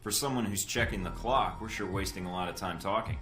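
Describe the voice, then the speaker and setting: An actor playing a cool young secret agent recruit, dry, sardonic and clipped, in a video game. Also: male voice, low voice, fairly quick, close to an everyday voice. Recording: roomy echo with music underneath.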